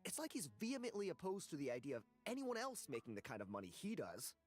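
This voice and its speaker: A man's voice: fairly high pitch, huge pitch swings, quick pace, darkish tone, faint music behind. A man playing a disgruntled, jealous researcher in a video game, curt, defensive and grumbling. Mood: indignant, defensive. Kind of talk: video game character dialogue.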